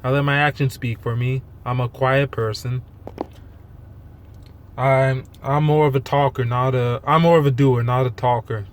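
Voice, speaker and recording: A man giving an unscripted, rambling rant in a monotone, halting way, blunt and self-deprecating with casual swearing. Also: medium pitch, a little hiss or hum. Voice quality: monotone delivery. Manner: halting, rambling, loosely strung thoughts. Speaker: fitness vlogger.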